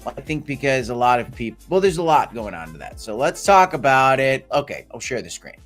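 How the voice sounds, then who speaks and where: A hoarse man hosts a loose crypto livestream, his voice deep and strained as he loses it. He jokes, repeats lines and calls out to his chat at a middling pitch. Faint music plays underneath. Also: sing-song, fairly quick, fairly bright tone. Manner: jokey, repeats phrases, talks to chat. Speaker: crypto show host.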